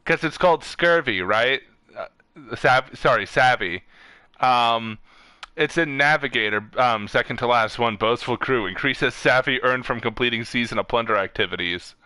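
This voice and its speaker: A man's voice: medium pitch, normal speed, pushed and bright, clean tone, no room sound. A man streaming a video game and chatting casually with a friend in a rambling, sweary way. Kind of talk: casual gaming chat with a friend over voice chat.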